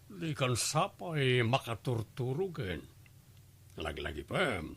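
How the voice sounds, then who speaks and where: A man's voice: medium pitch, huge pitch swings, slow, fairly bright tone, slightly rough, heavily acted. A man playing a grave, protective middle-aged father in a radio drama. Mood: fond, calm.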